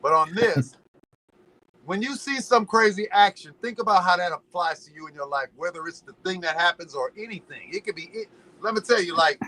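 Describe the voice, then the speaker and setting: Guest, male, bright forceful voice, animated slangy storytelling, slight hiss behind. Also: high voice, rough recording. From Los Angeles. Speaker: podcast guest. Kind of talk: casual chat.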